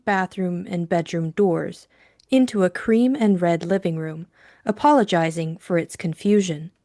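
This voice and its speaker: A woman's voice: fairly low pitch, darkish tone, no room sound, clean studio sound. A woman reading a novel as an audiobook narrator, in scripted dramatic narration.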